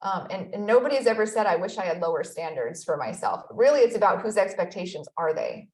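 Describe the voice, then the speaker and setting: A woman in her thirties speaks as an interview guest, earnest and reflective, often slipping in "you know." Her voice sits at a middle pitch and carries a noticeable room echo. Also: lively intonation, a little fast, a little mellow. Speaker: guest, accountability expert. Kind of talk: conversational interview.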